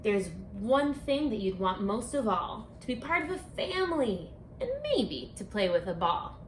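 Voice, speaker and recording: A woman reading a rhyming picture book aloud for children, speaking expressively and deliberately, straight to her young listeners. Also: mid-range voice, sing-song. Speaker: children's book author and read-aloud presenter. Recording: echoey room with faint background noise.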